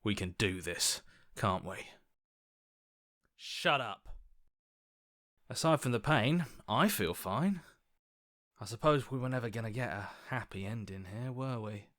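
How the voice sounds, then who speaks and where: A man's voice: fairly low pitch, expressive, measured pace, long pauses, a bit bright, no echo, studio quality. A man voicing an earnest, anxious hero as a reluctant inner voice in a video game, conversational, hesitant and wry. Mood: wry, resolute. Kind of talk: dramatic video game voice acting.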